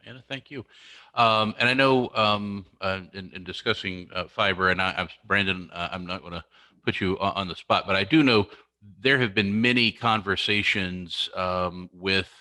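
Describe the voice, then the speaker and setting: A man with a low but bright, forceful voice hosts an online meeting. He talks haltingly and restarts often, heard over a dry video call. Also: no echo. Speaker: meeting host.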